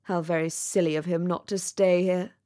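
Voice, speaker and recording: A woman's voice: fairly low pitch, fairly even intonation, normal speed, dark tone, pitched below the performer's own voice, lightly acted. A woman voicing a vain, haughty male firework rocket in a storybook, with a pompous, condescending manner. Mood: smug, boastful. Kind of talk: storybook character reading.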